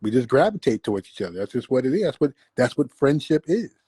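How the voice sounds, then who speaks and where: A man's voice: medium pitch, sing-song, fast talker, warm tone, breathy edge, no room sound. A man in his fifties chatting casually as a guest, laid-back and slangy with self-deprecating humor. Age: 50s. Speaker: guest, longtime friend. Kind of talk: casual conversation.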